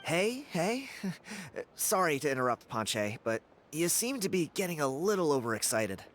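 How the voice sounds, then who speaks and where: A man's voice: a little high, very animated, unhurried, fairly bright tone. A man voicing a skeptical, teasing local man in a game cutscene who ribs the others. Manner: wry, ribbing. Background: faint game score music.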